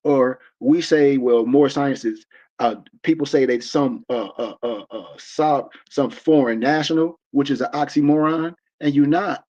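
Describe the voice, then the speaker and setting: A man's voice: mid-range voice, measured pace, darkish tone. An American man in his forties hosting a livestream discussion, talking in a preachy, emphatic way with many restarts. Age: 40s. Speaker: discussion host. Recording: dull lo-fi stream audio.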